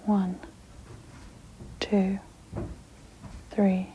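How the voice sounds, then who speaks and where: A woman guiding an ASMR sleep meditation in a breathy, mostly whispered voice, soothing and gentle, with long pauses. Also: medium pitch, completely flat delivery, lo-fi sound, a little hiss or hum.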